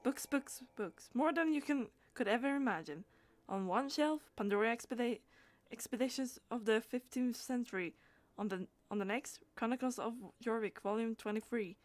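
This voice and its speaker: A woman's voice: fairly high pitch, normal speed, hardly any pauses, no echo, close to an everyday voice. A woman reading out a wordy, bookish game character who proudly loves old lore, declaiming with the odd stumble. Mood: delighted, proud.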